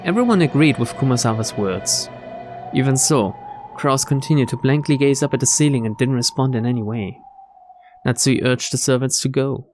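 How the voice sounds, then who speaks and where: A man's voice: medium pitch, moderate pace, darkish tone. A man doing a game playthrough, reading visual novel narration aloud and adding his own asides. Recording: game music behind the voice.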